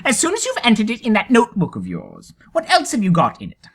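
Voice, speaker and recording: A man's voice: sits high, huge pitch swings, fast talker, breathy edge, voice pushed up, exaggerated voice. A man voicing a sly, pedantic tortoise in a dramatic storybook reading, politely stubborn, precise and mock-innocent. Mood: cheerful, bossy.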